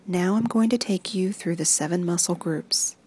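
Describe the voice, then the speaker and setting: A woman reading a guided muscle relaxation script in soft, even instructions that often sink into a whisper. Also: on the low side, moderate pace, husky.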